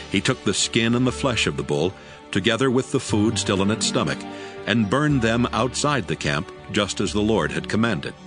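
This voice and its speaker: A man reading scripted scripture narration for an audiobook in a measured, solemn way. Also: on the low side. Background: music.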